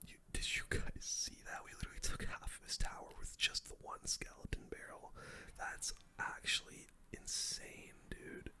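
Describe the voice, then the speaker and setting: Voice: moderate pace, pushed and bright. A young adult whispers casual, slangy commentary over their own gameplay in an ASMR style. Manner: casual slangy gamer talk, full whisper.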